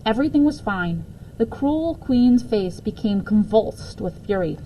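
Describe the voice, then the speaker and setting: A woman reading a children's book aloud as storyteller, expressive in her narration. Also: medium pitch, a little slow, poor audio. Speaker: story reader. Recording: faint background noise.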